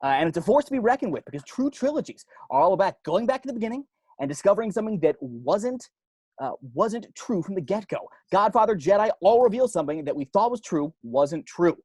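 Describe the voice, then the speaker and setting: A man's voice: high-pitched, big pitch swings, a little fast, darkish tone, slightly rough, no echo, heavily acted. A man playing a dead young film geek who explains horror rules on a homemade video, emphatic and stop-and-start, in an amateur table read. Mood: earnest, ominous.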